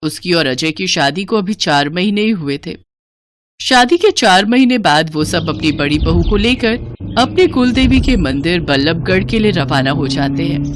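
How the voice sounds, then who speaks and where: A woman's voice: fairly low pitch, expressive, moderate pace. A woman narrating a scripted family story in a dramatic storytelling style.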